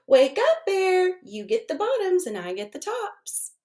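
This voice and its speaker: A woman voicing a sly, cheerful male hare in a children's storybook, speaking with bright mock innocence. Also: very high voice, huge pitch swings, unhurried, voice pushed up, full character voice. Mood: calling out.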